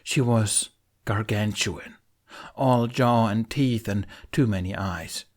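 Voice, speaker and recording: A man narrating a fantasy adventure audiobook in an expressive, scripted storytelling style. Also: on the low side, slow pace.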